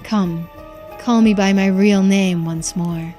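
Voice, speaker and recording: A woman's voice: mid-range voice, fairly even intonation, slow, mellow, faint music behind, natural voice. A woman voicing a smug, theatrical man who is centuries old, drawing out his teasing words in a video game. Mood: grandiose, coaxing.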